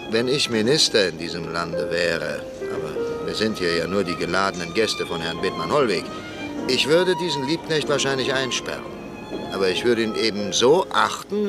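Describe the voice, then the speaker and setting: A blunt, sardonic middle-aged parliamentarian in a classic radio play. His voice sits a little low and stays measured, even when he is scornful. Music plays behind him. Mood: stern. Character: Schindler, middle-aged man, blunt, bitter Reichstag deputy. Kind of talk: 1960s radio drama acting.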